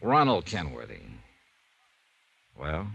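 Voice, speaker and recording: Low-voiced, dramatically swooping radio detective. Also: male voice, huge pitch swings, slow, gravelly, fairly bright tone, slightly rough, no room sound, highs cut off, full character voice. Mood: blunt, insistent. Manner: blunt, clipped, dramatic. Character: tough, blunt male private insurance investigator. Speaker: lead actor and narrator. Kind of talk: 1950s radio detective narration and acting.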